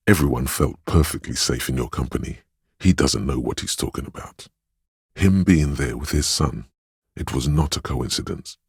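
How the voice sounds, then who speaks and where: Game narrator: solemn, compassionate inner voice, rock-bottom bass register, heavy husk, measured delivery. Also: male voice, dry recording, studio quality, exaggerated voice. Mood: gentle, observant. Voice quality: very deep, husky, gravelly. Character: inner voice of empathy, a grave, compassionate male narrator. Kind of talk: scripted video game narration.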